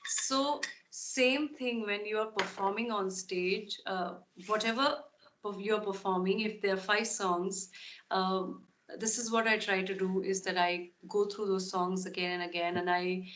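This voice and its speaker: A woman's voice: medium pitch, a bit bright. A woman singer being interviewed, answering in an earnest, thoughtful way with many fillers and restarts. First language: not Tamil. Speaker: podcast guest.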